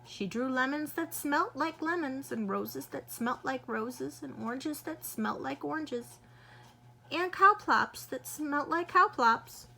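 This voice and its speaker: A woman reading a children's picture book aloud in an expressive, lively way. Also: high voice, slow, vocal fry, rough recording. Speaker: children's storyteller.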